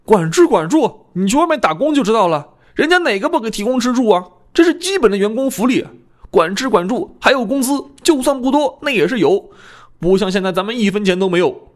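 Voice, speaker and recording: A man's voice: high voice, sing-song, fairly quick, pitched above the performer's own voice. A man voicing a disgruntled, cheeky fat apprentice in an audiobook, with an emphatic, complaining delivery. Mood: indignant.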